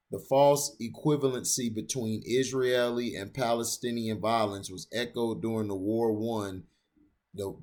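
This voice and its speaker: A man of ordinary pitch reads a long written essay aloud for his channel. His delivery is steady and deliberate. He trips on a longer word now and then and goes back to say it again. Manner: steady, deliberate reading with occasional stumbles on long words. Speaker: channel host reading an opinion essay aloud.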